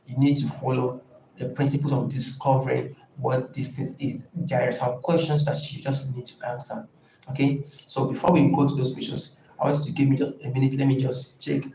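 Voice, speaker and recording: A man gives an informal online lesson at a middling pitch. He explains in a halting, deliberate way and drives points home through repetition. The room is clearly echoey, with slight noise behind him. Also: little pitch movement, warm tone. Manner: emphatic, repeats key phrases. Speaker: branding coach leading an online class. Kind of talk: informal live teaching talk.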